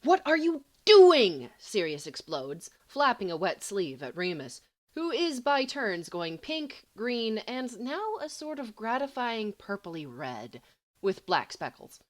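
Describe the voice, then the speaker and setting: A woman reading scripted fiction aloud as an expressive, dramatic storyteller. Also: medium pitch, slow pace. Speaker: audiobook reader.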